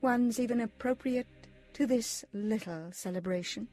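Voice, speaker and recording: An actress playing a coolly taunting, mock-polite villainess in a gothic soap audio drama, in a measured theatrical delivery. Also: female voice, fairly high pitch, dark tone, faint music behind, natural voice. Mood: smooth taunting.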